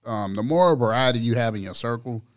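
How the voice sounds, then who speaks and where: A man's voice: mid-range voice, animated, a little fast. A man hosting a podcast, talking loosely in slang and often repeating himself. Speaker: podcast host. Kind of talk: casual chat.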